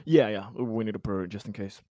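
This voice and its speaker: A man's voice: on the low side, a little fast, warm tone, no echo, studio quality. A man chatting casually as a player in an online tabletop roleplaying game.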